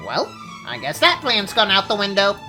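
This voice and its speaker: A man's voice: high voice, huge pitch swings, moderate pace, over music, voice pushed up. A man voicing a cheeky little male sparrow, a flippant assistant with a brash, wisecracking way of talking.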